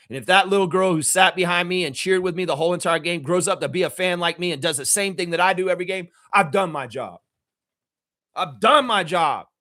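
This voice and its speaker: A man with a somewhat raised voice, raspy and worn from yelling all night. He rants about fandom with blunt swearing and keeps circling back to the same emphatic phrases. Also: fairly high pitch. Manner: emphatic, profane, repeats phrases. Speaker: fan channel host. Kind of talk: fired-up fan reaction rant.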